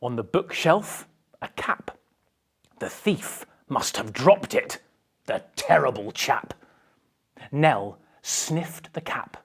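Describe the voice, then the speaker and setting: A woman's voice: very deep voice, big pitch swings, takes it slowly, gravelly, long pauses, slightly rough. A woman reading a children's picture book aloud with theatrical, dramatic emphasis. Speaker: storybook reader.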